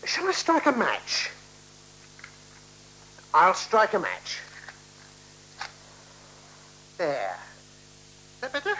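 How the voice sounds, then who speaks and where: A prim, genteel woman in a 1970s radio drama, coaxing and teasing while staying coolly evasive. Her pitch is very varied and expressive. A faint hiss runs under the old broadcast. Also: slow pace, heavily acted, rough recording. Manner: genteel, coaxing, patronizing, playing with words. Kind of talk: 1970s radio play acting.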